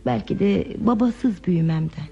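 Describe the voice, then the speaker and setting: Muffled radio theatre with music behind it. An actress plays a lonely, fragile woman with a creaky, mellow voice. She confides softly and hesitantly, wistful and withdrawn. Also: medium pitch, normal speed, natural voice. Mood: melancholy, confiding. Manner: hesitant, confiding, soft and reflective delivery. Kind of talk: Turkish radio theatre acting.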